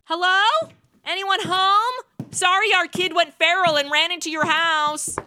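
A woman's voice: very high voice, fairly even intonation, a little slow, sharp tone, a little hiss or hum, voice pushed up, full character voice. A woman playing a sarcastic, blunt, wisecracking young babysitter in a comedic holiday radio play. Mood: cheeky. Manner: sarcastic, wry.

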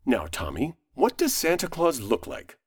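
An actor playing a confident, friendly defense attorney in a courtroom radio play, courteous and persuasive. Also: male voice, mid-range voice, huge pitch swings, normal speed, slightly rough.

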